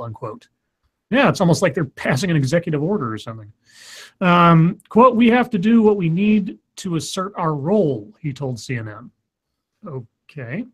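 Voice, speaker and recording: A man with a slightly scratchy voice, casually reading news stories on a stream with rambling, sarcastic asides. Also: on the high side.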